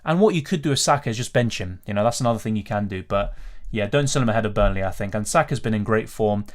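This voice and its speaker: A man's voice: on the low side, animated, fast. Man from Argentina hosting a casual livestream. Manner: conversational, off-the-cuff, thinking aloud. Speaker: livestream host, fantasy football tipster. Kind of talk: casual live Q&A, reading out and answering viewer questions.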